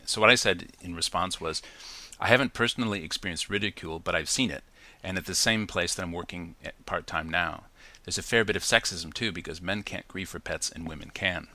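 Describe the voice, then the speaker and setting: A man's voice: deep voice, a little fast, bright, forceful tone. A man hosting a casual solo podcast from New Zealand, chatty and reflective, with many ums and restarts. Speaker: podcast host.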